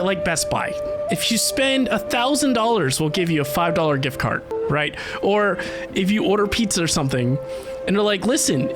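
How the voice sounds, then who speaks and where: A streamer talks casually while playing a game. The voice is medium in pitch and chatty, with music clearly audible behind it. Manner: chatty, informal. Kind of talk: casual livestream chat while gaming.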